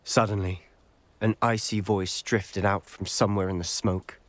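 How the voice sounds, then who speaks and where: A man's voice: lowish voice, little pitch movement, unhurried, natural voice. A young man with a British accent playing an earnest, determined teenage schoolboy wizard in a video game, telling things intently. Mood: grave, intent. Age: young adult. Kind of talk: video game character dialogue.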